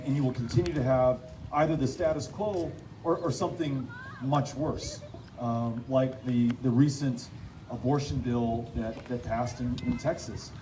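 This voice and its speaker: Guy doing political q&a at a meeting, earnest and stop-start, far from mic, lots of noise. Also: mid-range voice. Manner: earnest, halting with repeated words. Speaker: political speaker answering audience questions at a town hall. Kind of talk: off-the-cuff public speaking, Q&A. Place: park pavilion, community meeting. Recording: roomy, distant live-stream sound, loud background noise.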